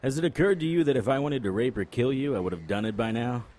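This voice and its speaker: An actor playing a cocky, unflappable supernatural private investigator in an audio drama, full of wry, flippant banter. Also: male voice, medium pitch, expressive, fast, darkish tone, close to an everyday voice. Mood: teasing.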